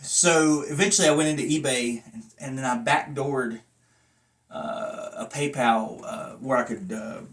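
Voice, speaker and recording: A man with a middle-pitched voice gives an informal review as a hobbyist vlogger. He rambles conversationally and speaks bluntly. His manner is self-deprecating and unpolished, like someone talking off the cuff. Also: a little slow, a bit bright. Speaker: vlogger and wrestling belt collector reviewing a belt. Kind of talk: casual, rambling review talk to camera.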